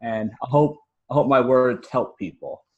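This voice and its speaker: A man's voice: medium pitch, a little slow, phone-quality sound. American man, earnest and informal. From United States. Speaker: podcast guest, fiction writer and podcaster. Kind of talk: casual interview talk.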